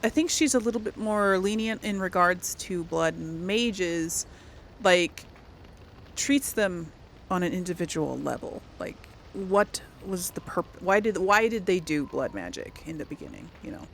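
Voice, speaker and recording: A woman hosting a casual role-play gaming video, musing aloud haltingly and often clearing her throat. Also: on the low side, expressive, slight background noise.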